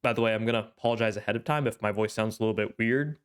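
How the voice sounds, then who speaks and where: Young male anime host, stuffy-nosed, smooth low-ish voice, rambling casual commentary. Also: racing. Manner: thoughtful, rambling, with frequent fillers. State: congested, clearing his throat. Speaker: anime reaction channel host.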